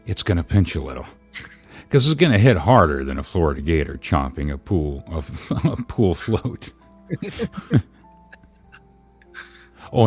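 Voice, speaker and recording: Extremely deep-voiced man, sarcastic dramatic reading. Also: a little mellow. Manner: sarcastic, theatrical, chuckling. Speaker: live stream commentator. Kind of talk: dramatic, mocking reading of a written open letter.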